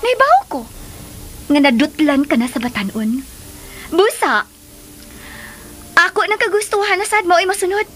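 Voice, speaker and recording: A woman playing a jealous, demanding, vindictive lover in a melodramatic radio drama, with a forceful, imperious manner. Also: extremely high pitch, expressive, a little slow, pauses often, a little hiss or hum, exaggerated voice. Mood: bitter, demanding. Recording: music under the voice.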